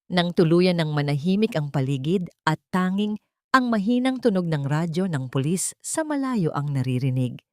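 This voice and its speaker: A woman's voice: low voice, warm tone, no echo, clean studio sound. A Filipino woman reading a scripted dramatic story as its narrator.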